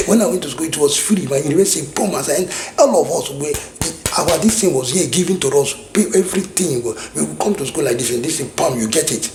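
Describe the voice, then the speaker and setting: A man's voice: a little high, animated, fast, hardly any pauses, a little mellow, slight background noise. Rambling Nigerian man in echoey room. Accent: Nigerian English. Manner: rambling, many restarts and repeated phrases. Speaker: vlogger. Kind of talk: casual reminiscing monologue.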